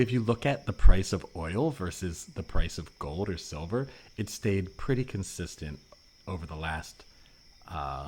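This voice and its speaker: A man improvising an earnest, suspicious former anarchist in a comedy podcast, explaining things in a halting, rambling way. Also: sits low, a little mellow, slight background noise, natural voice. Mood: defensive, explaining.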